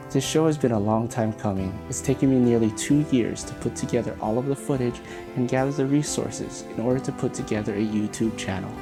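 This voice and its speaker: A man introduces his show in a measured, earnest way, his voice sitting at an ordinary pitch. Guitar music plays clearly beneath him throughout. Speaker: show host. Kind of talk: scripted introduction.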